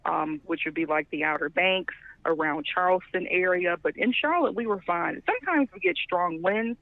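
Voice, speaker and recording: High, gravelly guest on a tinny phone line. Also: male voice, lively intonation, normal speed, no room sound. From Michigan. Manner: upbeat, talkative. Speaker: podcast guest. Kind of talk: casual interview chat.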